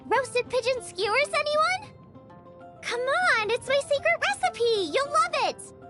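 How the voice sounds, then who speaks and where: A woman's voice: extremely high pitch, very animated, a little slow, full character voice. A woman voicing a cheerful, eager teenage girl chef in a video game, chatty and enthusiastic. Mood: perky, eager. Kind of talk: video game character voice lines. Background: music.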